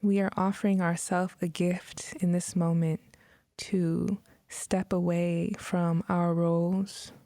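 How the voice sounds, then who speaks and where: A woman guides a meditation very slowly, leaving long pauses between short phrases. Her voice is mellow and slightly low, with soft breathy edges, recorded dry and close. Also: no room sound. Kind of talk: guided meditation, scripted narration.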